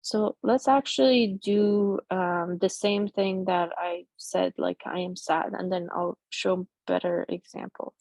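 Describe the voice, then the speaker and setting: Gravelly woman talking through a demo. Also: on the low side, steady tone, a little mellow, no echo. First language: Persian. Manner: casual, halting, many fillers and restarts. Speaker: presenter demoing a chatbot tool. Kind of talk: live software demo on a video call.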